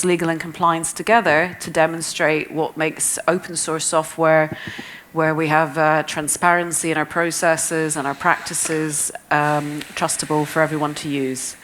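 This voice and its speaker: A woman's voice: deep voice, a bit bright, slight background noise. A middle-aged woman with a chatty, self-deprecating manner, introducing speakers at a conference panel. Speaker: panel moderator. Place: conference breakout room.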